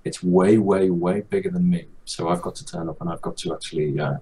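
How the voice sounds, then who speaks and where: Man, guest, very low pitch, thoughtful, hesitant, faint hiss. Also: steady tone, a little fast, dark tone. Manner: thoughtful, hesitant, many fillers. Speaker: guest game artist. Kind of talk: casual chat.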